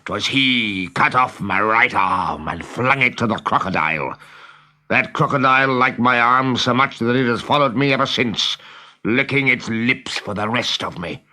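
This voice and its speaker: A man voicing a villainous pirate captain in a children's storybook, growling in a drawn-out, theatrical, menacing way. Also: medium pitch, animated, pushed and bright. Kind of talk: dramatised children's storybook reading.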